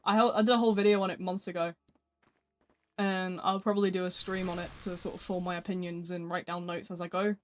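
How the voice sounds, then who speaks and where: A gaming streamer chatting casually during a live stream, answering viewer messages in a flat speaking voice. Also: mid-range voice, quick pace, a little hiss or hum.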